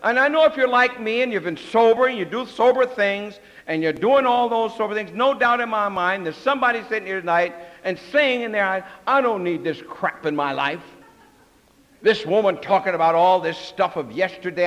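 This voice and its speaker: A man's voice: high-pitched, lively intonation, moderate pace, a bit bright, big-room sound, muffled recording. An older man telling his personal story at a recovery meeting, candid and joking, acting out the voices of others.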